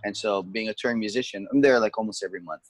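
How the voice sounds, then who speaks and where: A man's voice: lowish voice, racing, slightly rough. A man from Hawaii, a touring musician, talking casually and patiently explaining words. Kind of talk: casual conversation. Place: home, during lockdown.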